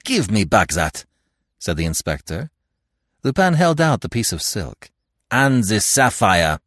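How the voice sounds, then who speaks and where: A man plays a police inspector boiling with rage, barking his words out low and gruff. The delivery is clipped and hostile. The sound is dry and close. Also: very animated, unhurried, no room sound. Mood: demanding. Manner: barking, furious.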